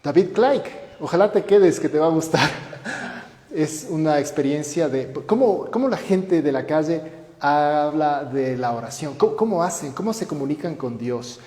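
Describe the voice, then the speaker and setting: Priest host in an echoey room. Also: male voice, on the high side, animated, normal speed, darkish tone. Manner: friendly, enthusiastic questioner. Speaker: priest hosting an interview. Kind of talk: conversational interview. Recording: echoey room with long reverb.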